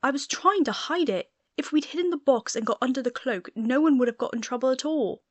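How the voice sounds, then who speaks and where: A woman's voice: sits high, fairly quick, no room sound, voice pushed up. A woman voicing a blunt, chiding teenage schoolboy, reasonable but exasperated, in an audiobook.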